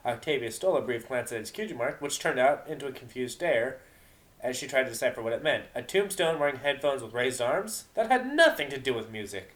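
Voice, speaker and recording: A man's voice: mid-range voice, lively intonation, brisk, a bit bright. A man reading a story aloud as narrator, in a dramatic narration style. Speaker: narrator of a fan fiction reading.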